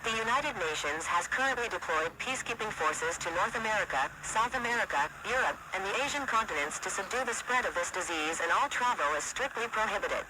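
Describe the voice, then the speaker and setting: High-pitched male emergency announcer, noisy broadcast. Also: animated, fairly quick, hardly any pauses. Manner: formal, official announcing. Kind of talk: scripted emergency alert narration. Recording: clearly noisy radio-like broadcast audio.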